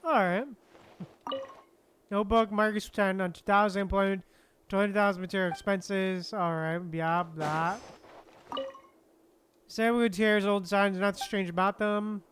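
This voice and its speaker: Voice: medium pitch, steady tone, unhurried, long pauses, a little hiss or hum. A streamer giving casual, slangy, unscripted gameplay commentary, muttering reactions and reading text aloud offhandedly. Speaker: streamer playing a game. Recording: loud game music behind the voice.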